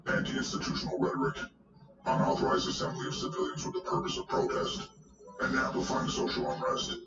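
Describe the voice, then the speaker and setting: A cold, menacing official of a dystopian police tribunal, acted by a man, speaks in stern, measured, breathy tones for a film trailer. The pitch swings widely, and the sound is echoey and distant under loud music. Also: muffled recording. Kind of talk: dramatic film trailer acting.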